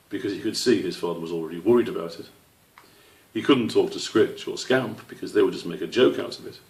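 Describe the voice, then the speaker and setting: Low, creaky man reading a children's story. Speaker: author reading his own book. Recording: roomy echo, distant microphone.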